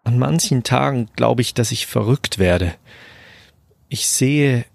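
A man's voice: medium pitch, slow pace, vocal fry, a little mellow, dry recording. A man acting as a stressed restaurant cook near breaking point, subdued and earnest, in a German audio drama. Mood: confessing, troubled.

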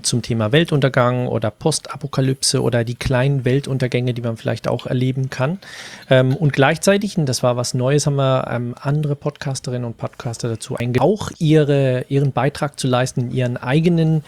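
A man hosts a podcast in a casual, conversational way. His voice sits at a medium pitch. The sound is dry, with hardly any room in it. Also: darkish tone, no echo. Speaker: podcast host.

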